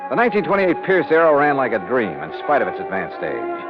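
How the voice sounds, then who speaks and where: An actor playing a brisk, skeptical insurance investigator in a 1950s radio noir, wry, clipped and confident. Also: male voice, medium pitch, wildly swooping pitch. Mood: wry narration. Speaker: lead detective and narrator. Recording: muffled old radio recording, music behind.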